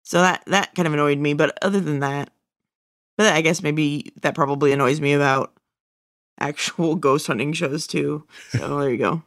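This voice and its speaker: A woman's voice: sits low, moderate pace, dry recording, clean studio sound. A woman co-hosting a casual review podcast in a blunt, informal way. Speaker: podcast co-host.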